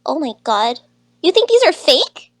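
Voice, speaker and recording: A woman plays a young rabbit-eared student girl in a visual novel. She is high-voiced, brisk and teasing, with a bossy, matter-of-fact manner. The sound is close and dry. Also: very animated, no room sound. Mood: offended disbelief. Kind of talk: visual novel dub dialogue.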